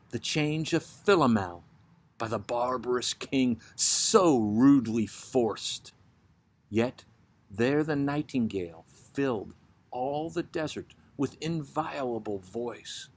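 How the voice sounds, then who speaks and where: A man giving a dramatic poetry recitation, reading in a deliberate, theatrical manner. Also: mid-range voice. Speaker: poetry reader, host.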